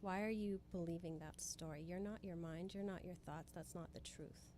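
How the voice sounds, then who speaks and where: A woman's voice: fairly low pitch, steady tone, moderate pace, mellow, slight background noise. A woman from Hawaii giving earnest, emphatic motivational explanations as a guest in a conversational interview. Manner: earnest, emphatic, speaks in repeated phrases. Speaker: spiritual guest being interviewed.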